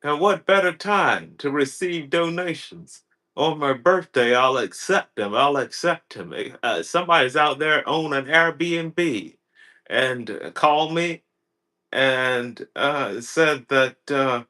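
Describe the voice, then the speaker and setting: A man's voice: a little high, sharp tone. A man in his thirties, a personal development coach, chatting on a livestream, repeating himself with many fillers and restarts. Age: 30s. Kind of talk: casual livestream chat.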